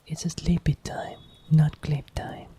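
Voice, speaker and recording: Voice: mid-range voice, little pitch movement, a little slow, husky, pitched above the performer's own voice. A streamer whispering an ASMR roleplay as a clingy, sleepy partner who coaxes someone back to bed. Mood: coaxing. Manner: soft teasing whisper.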